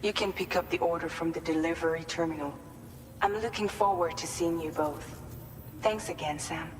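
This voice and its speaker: A woman playing a calm, polite team colleague giving directions in a video game briefing. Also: on the low side, normal speed, natural voice. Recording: distant, reverberant, with clear background noise.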